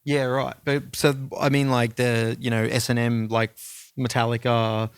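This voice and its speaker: A man's voice: mid-range voice, flat delivery, measured pace, smooth, no echo, studio quality. A man co-hosting a casual music discussion, talking in an informal, rambling and opinionated way. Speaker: podcast co-host.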